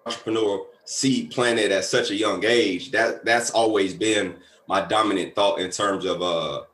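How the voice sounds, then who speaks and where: A man from the United States, a guest entrepreneur telling his story in a relaxed, slangy way. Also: fairly low pitch, echoey room. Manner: relaxed, slangy, loose with fillers. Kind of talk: casual interview storytelling.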